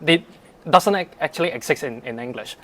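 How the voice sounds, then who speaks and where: A man's voice: fairly high pitch, expressive, brisk, a bit bright. A man in his twenties from Hong Kong with a Hong Kong accent, Cantonese native, answering interview questions hesitantly with many fillers and restarts. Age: 20s. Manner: laughs easily. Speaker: guest. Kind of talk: casual interview.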